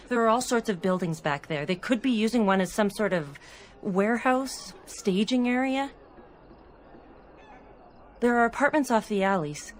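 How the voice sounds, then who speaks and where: A woman's voice: fairly high pitch, a little hiss or hum, lightly acted. An actress voicing a fiery, suspicious young elf woman from a slum in a video game, blunt and urgent.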